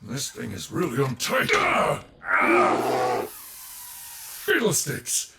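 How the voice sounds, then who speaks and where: A man's voice: mid-range voice, huge pitch swings, very slow, creaky, far from the mic, very noisy, heavily acted. A man playing an earnest, theatrical animatronic bear in an audio roleplay, grandiose, formal and wordy. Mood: upbeat.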